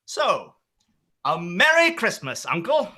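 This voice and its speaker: An actor in a radio play playing a cheerful, warm-hearted nephew with an earnest, declamatory delivery. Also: male voice, high-pitched, huge pitch swings, slow pace, full character voice. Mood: pleading, sincere. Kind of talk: theatrical radio play acting.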